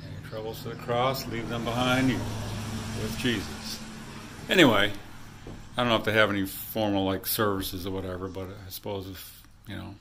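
A middle-aged man talks into his handheld phone as he walks outdoors, his voice sitting a little low. He rambles easily, full of fillers and trailing pauses. Faint outdoor ambience hangs behind him. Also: level tone, noisy background. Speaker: hiker filming his trail vlog. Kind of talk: casual walking commentary. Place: outdoors at a rural hostel and open hilltop meadows. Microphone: handheld phone camera.

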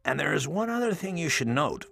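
A man's voice: fairly high pitch, big pitch swings, a little fast, creaky, bright, forceful tone, voice pushed up, full character voice. Matter-of-fact medical examiner, voiced by a male narrator. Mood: matter-of-fact, grave.

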